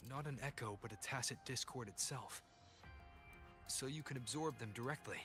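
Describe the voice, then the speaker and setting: An actor playing a calm, curious young hero in a video game, measured and earnest. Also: male voice, mid-range voice, lightly acted. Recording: clear music behind.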